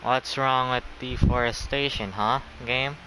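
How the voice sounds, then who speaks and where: A man's voice: medium pitch, fairly even intonation, measured pace, noisy background. A man giving casual, sarcastic commentary while he plays a video game. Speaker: gamer commenting on his play.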